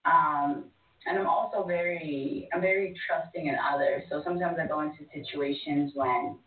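A woman's voice: low voice, normal speed. A woman, born in North Sudan, calling a talk show and speaking casually, thoughtfully and hesitantly. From born in North Sudan, moved to the States at six. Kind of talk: casual, reflective conversation. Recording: tinny, roomy phone line, distant.

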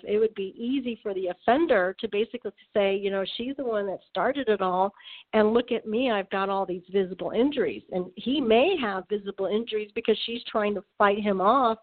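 A woman guest expert being interviewed on the radio, explaining things in an earnest, measured way. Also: medium pitch. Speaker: guest expert on domestic violence. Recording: phone-like narrow band.

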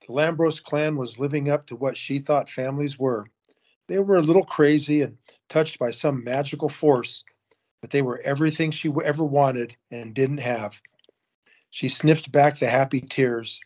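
Male narrator, steady and measured. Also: medium pitch, dull audio. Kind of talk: audio drama narration.

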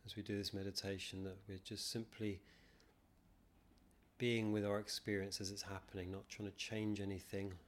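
Male meditation guide, lowish voice, flat even tone, calm, measured, long pauses. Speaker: meditation teacher, podcast guest. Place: non-soundproofed room.

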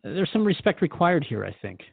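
A man's voice: on the high side, very animated, a little fast, vocal fry, slightly rough, no room sound, phone-quality sound, exaggerated voice. A man playing an earnest, thoughtful radio host who interviews in a reflective, conversational and sometimes hesitant way. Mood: earnest, troubled.

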